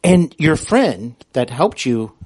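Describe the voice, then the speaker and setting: A man's voice: on the high side, very animated, slow, darkish tone, slightly rough. A man interviewing a guest as podcast host, conversational, attentive and supportive.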